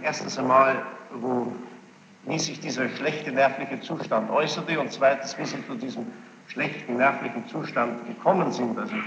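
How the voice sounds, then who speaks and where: A man questioning a witness as a judge in formal courtroom style, insistent and deliberate, repeating answers back. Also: mid-range voice. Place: large echoey courtroom, archive tape. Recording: muffled old tape, echoey hall, hiss.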